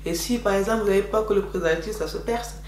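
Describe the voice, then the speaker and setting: A woman's voice: mid-range voice, fast talker, a little mellow. Chatty woman in an echoey room. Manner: chatty, repetitive, frequent "donc voilà" fillers. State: slightly congested from a cold. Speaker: beauty vlogger. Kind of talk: casual advice vlog. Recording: echoey room with faint hum.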